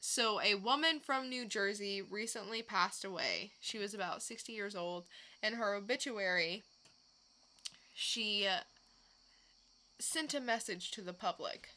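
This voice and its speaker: A woman co-hosts a podcast in a bright, crisp-edged voice of medium pitch. She takes her time, telling stories in an unhurried, conversational way. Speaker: podcast co-host. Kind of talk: casual chat, recounting odd news stories.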